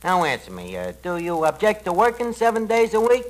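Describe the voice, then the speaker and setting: Through heavy crackle comes a wise-guy tavern manager from an old radio comedy. His voice sits high and swings about as he coaxes in mock-earnest, mangled grammar. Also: huge pitch swings, fairly quick. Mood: coaxing. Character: wheedling, malaprop-prone tavern manager, fast-talking wise guy. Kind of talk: 1940s radio comedy acting.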